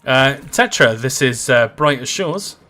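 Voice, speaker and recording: A man's voice: medium pitch, expressive, measured pace, bright, forceful tone. A man in his thirties chatting casually with viewers while he livestreams a game, rambling with lots of fillers and answering comments. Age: 30s.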